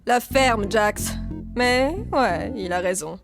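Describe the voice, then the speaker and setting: A woman voicing a jaded, grumpy long-time circus resident in an animated fan dub, blunt and weary. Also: medium pitch, takes it slowly. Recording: clear music behind the voice.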